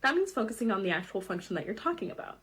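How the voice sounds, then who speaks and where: A woman in a social media clip calmly explaining language advice in an instructive way. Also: medium pitch, racing.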